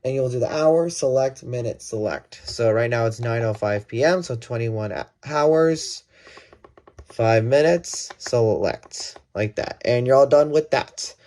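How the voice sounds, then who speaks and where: Mellow-voiced man demoing a gadget. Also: medium pitch, measured pace. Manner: thinking aloud, self-correcting. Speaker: product reviewer. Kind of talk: casual hands-on gadget demonstration.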